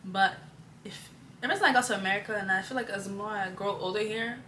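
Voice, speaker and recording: A young woman from Nigeria, a guest in casual chat, talking in a relaxed, rambling way with slang. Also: mid-range voice, quick pace. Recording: echoey room, faint noise.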